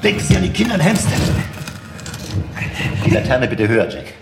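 A man's voice: medium pitch, wildly swooping pitch, fairly quick, vocal fry. An actor playing a resolute, authoritative male professor and vampire hunter in a radio drama, grave and commanding. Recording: music behind the voice.

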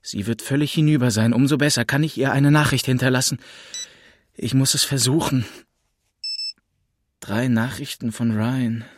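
A man's voice: medium pitch, steady tone, normal speed, pauses often, no echo, clean studio sound. A man reading an audiobook in the first person as a jaded teenager, sardonic and terse, with faint noise behind. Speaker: audiobook narrator.

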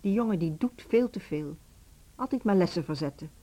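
An actress in a Dutch radio drama playing a stern, controlling middle-aged music school headmistress who speaks curtly and with authority. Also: female voice, on the low side, expressive, normal speed, mellow. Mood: stern, annoyed. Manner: authoritative, curt.

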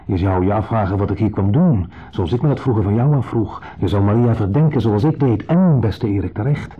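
An actor playing a shabby, self-pitying ex-husband who talks with deliberate, quiet menace in a radio drama. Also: male voice, lowish voice, animated, breathy edge. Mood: smooth, insinuating. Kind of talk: 1970s radio drama acting. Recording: dull 1970s radio play recording.

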